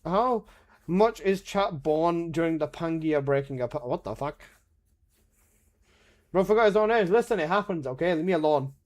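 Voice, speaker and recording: Joking young man streaming games, fairly high. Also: animated, moderate pace. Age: 20s. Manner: joking, swearing banter with frequent laughs. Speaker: game streamer. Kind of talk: casual live-stream chat reading chat.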